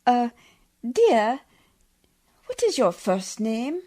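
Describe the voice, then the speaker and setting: A woman's voice: on the high side, very animated, extremely slow, dark tone, dry recording, heavily acted. An actress in a radio play playing a prim, genteel but brave lady, proper and precise, with a fluttery romantic streak. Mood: tender, earnest.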